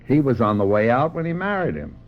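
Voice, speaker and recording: A man's voice: medium pitch, very animated, a little fast, close to an everyday voice. A man playing a loyal older family friend in a radio mystery drama, folksy, blunt and protective. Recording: dull lo-fi radio drama, faint noise.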